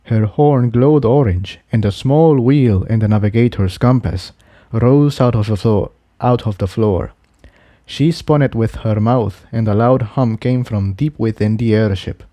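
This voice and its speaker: A man reading scripted fiction aloud as an expressive story narrator. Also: medium pitch, measured pace, no echo.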